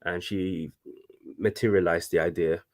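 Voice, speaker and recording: Guy in his 30s, low voice, designer chatting, keeps restarting lines. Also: unhurried. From Congo (DRC). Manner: animated, with frequent restarts. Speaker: guest, fashion designer. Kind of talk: casual conversation.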